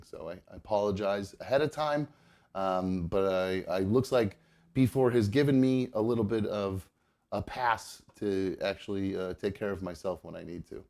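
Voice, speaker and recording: A man hosting a live podcast, chatting casually with viewers. He is stuffed up and gravelly from a cold, and he rambles with lots of fillers and restarts. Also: deep voice, a little mellow. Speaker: podcast host.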